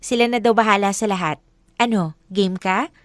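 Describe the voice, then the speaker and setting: A woman's voice: medium pitch, normal speed, no echo, studio quality, close to an everyday voice. A woman playing a firm, loyal young girlfriend with a Filipino accent in a radio drama. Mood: casual, friendly.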